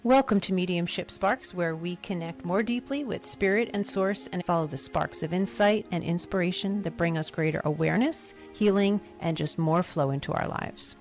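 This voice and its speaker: A woman hosting a spiritual podcast, gentle and earnest in how she talks. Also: lowish voice, normal speed, hardly any pauses, faint music behind. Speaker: podcast host and medium. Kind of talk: podcast interview.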